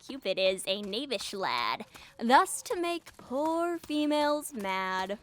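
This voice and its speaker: A woman's voice: a little high, big pitch swings, slow pace, slight background noise. A woman playing a mischievous, teasing fairy sprite in a Shakespearean audio drama, chanting verse. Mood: amused. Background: loud music.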